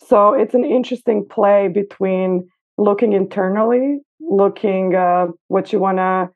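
A woman's voice: medium pitch, a little slow. Woman over a tinny phone-like line. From Serbia. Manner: thoughtful, hesitant with fillers. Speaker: guest costume designer. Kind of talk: casual interview. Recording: phone-like remote call.

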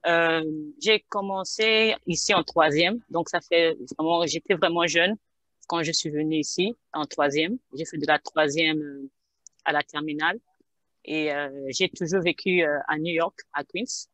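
Hesitant woman on a headset, bright voice. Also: deep voice, steady tone, unhurried, dry recording. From Gabon. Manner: hesitant, many filler sounds and repeats. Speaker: guest pharmacist. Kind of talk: interview answers. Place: home office with bookshelves. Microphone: headset on video call.

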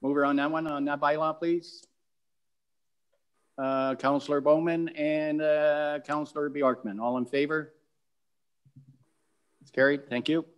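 A man chairing a council meeting over a video call, calling for movers and a vote in a brisk, procedural way. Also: on the high side, fairly even intonation, clean tone. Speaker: mayor.

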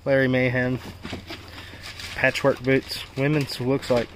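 A man with a mid-pitched voice talks slowly and casually, like a vlog host sorting through finds. His remarks come in short questions and exclamations. There is clear background noise with handling clicks. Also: monotone, rough recording. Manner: short exclamations and questions, talking while rummaging. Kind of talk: casual unscripted unboxing commentary. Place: storage unit.

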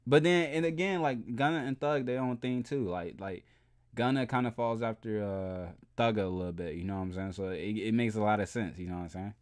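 A man's voice: fairly low pitch, a little fast, a little mellow. A young man casually reacting to a music performance, rambling and chatty. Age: young adult. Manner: chatty, rambling, frequent "you know what I'm saying". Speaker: reaction video host. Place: indoor room, webcam overlay on video.

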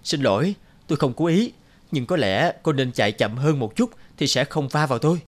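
A man's voice: medium pitch, lively intonation, a little fast, natural voice. An actor voicing a cool young male company director in a cartoon, composed, formal and curt. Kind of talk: animated cartoon dialogue. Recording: music under the voice.

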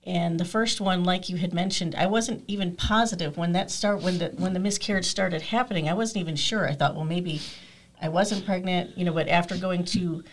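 A woman in her forties, a podcast guest in a studio, telling her story in a soft, hesitant way with many fillers. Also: fairly low pitch, fairly quick. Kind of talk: personal story in interview. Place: small studio. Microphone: studio mic with headphones.

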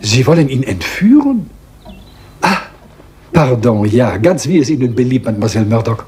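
An actor playing a fussy, courteous foreign detective with a French accent, formal and pompous in a German radio crime drama. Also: male voice, mid-range voice, big pitch swings, normal speed, a little mellow, long reverb tail, slight background noise, natural voice. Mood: genial, gallant.

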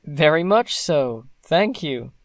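An actor playing a playful, proud young newlywed gentleman in an audio drama, with wry formality. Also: fairly high pitch, expressive, slow, dry recording, studio quality.

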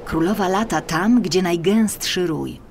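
A woman voicing an old grandmother who tells of wonders in a gentle, measured, mysterious way. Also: on the low side, slight background noise.

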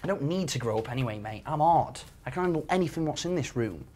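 A swaggering young lad, full of cheek and banter, played by a man. His pitch swings widely as he mocks his friend, and a faint hiss sits behind him. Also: expressive, quick pace, a little mellow, close to an everyday voice. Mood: joking swagger. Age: young adult. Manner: swaggering, teasing, swears freely. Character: cocky brash young male sports graduate. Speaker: actor in amateur short film.

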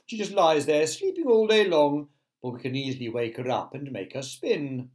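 A man voicing an old male green grasshopper in a children's story, sharp, emphatic and avuncular. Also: fairly high pitch, animated, normal speed, natural voice. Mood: brisk, excited.

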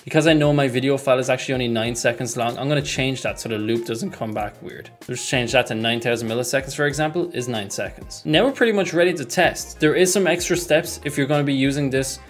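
A young man walks through software steps in a casual tutorial style. His mid-pitched voice is picked up by a close desk mic, with music playing behind him. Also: fast, few pauses. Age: young adult. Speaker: tutorial host. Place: small room at home, indoors. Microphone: desk microphone close in front.

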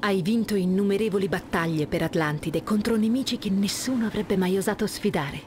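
A woman's voice: mid-range voice, brisk, a little mellow, slight background noise, natural voice. A woman voicing a solemn goddess who guides and warns a hero in a video game. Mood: solemn, warning. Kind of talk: dubbed video game cutscene acting.

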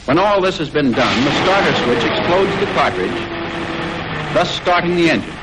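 An American man reading scripted 1940s instructional film narration in a formal, measured way, on an old film soundtrack. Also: on the high side, lively intonation, heavy background noise.

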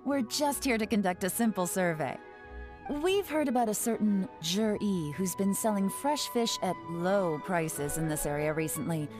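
An actress playing a smooth, playfully deceptive adult spy in a video game, with game music behind. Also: female voice, medium pitch, lively intonation, moderate pace, close to an everyday voice. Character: cool, cunning adult female spy.

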